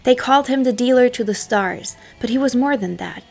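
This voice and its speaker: A woman who is not a native English speaker, hosting her own channel and narrating a scripted story in a dramatic, hushed style, her phrases often trailing off into a whisper. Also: fairly high pitch, a little fast, creaky, faint music behind. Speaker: history channel host.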